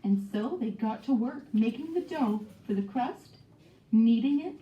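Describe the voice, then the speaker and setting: A woman's voice: on the high side, lively intonation, a little slow, a crowd in the background. A woman narrating a children's storybook expressively, acting out the dialogue as she goes. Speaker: storybook read-aloud narrator. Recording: dull, echoey, distant microphone.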